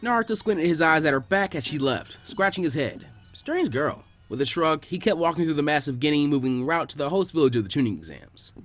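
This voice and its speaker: A man narrates a story at a brisk clip. His fairly high voice moves expressively up and down, with faint music behind it. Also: animated, a bit bright. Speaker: narrator of fan fiction readings.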